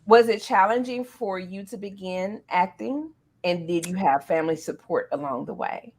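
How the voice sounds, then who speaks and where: A woman's voice: medium pitch, moderate pace, darkish tone. A woman hosting a casual talk show interview, speaking to guests in an encouraging, playful way.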